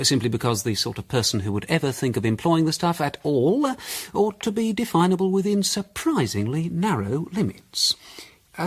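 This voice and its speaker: Pedantic, animated British amateur sleuth. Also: male voice, fairly high pitch, breathy edge, heavily acted. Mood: measured, self-assured. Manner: hesitant, pedantic, fussily precise. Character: self-assured, discursive male amateur sleuth presenting his theory. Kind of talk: British period mystery radio drama acting.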